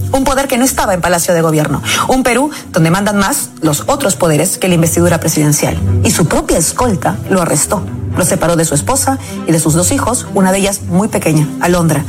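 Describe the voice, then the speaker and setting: A Peruvian woman with a Peruvian Spanish accent giving an impassioned, rhetorical political editorial in a rallying tone. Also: medium pitch. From Peru. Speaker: political commentator. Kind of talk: scripted political editorial over music. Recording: music bed underneath.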